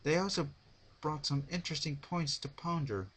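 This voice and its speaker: A man's voice: a little high, moderate pace, a bit bright, slightly rough. A man voicing a dignified, caring female pony ruler's formal letter in a dramatic story reading. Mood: grave, concerned.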